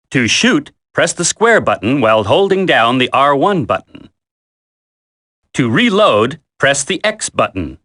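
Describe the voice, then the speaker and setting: A confident military instructor from a video game tutorial, with a bright, forceful voice. His pitch moves in lively swings as he gives firm, emphatic, encouraging directions.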